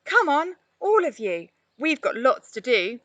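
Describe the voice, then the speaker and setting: A woman's voice: high voice, big pitch swings, unhurried, a bit bright, dry recording, muffled recording, pitched above the performer's own voice, full character voice. A woman voicing a small, chirpy, encouraging bird in a children's storybook reading. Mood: chirpy, urging. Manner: chirpy, urging. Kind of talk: children's storybook read aloud.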